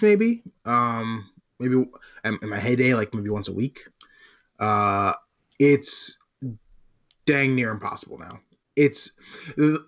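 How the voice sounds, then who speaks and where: A man co-hosting a casual gaming podcast, speaking haltingly and pausing mid-sentence, on a slightly dull microphone. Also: medium pitch, fairly bright tone. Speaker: podcast co-host.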